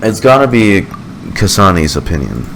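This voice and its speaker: A man's voice: low-pitched, very animated, moderate pace, fairly bright tone, slight background noise. A man giving casual gaming commentary and reading dialogue choices aloud as a reaction streamer.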